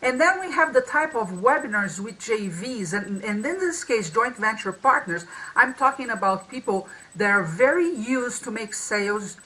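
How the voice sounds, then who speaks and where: A woman's voice: a little high, animated, normal speed, hardly any pauses, bright, forceful tone, low-quality recording. A woman co-hosting a marketing talk over a video call, with a strong voice and a loose, restarting way of speaking. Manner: many restarts and fillers. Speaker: co-host. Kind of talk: casual webinar talk.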